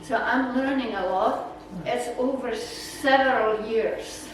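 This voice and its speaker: Slow Czech-accented woman in echoey room. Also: on the high side. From Czech Republic. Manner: chatty, rambling, with fillers and laughs. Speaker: presenter at a naturalist club. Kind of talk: casual slide talk. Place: meeting room. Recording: echoey room, voice from far off, noisy.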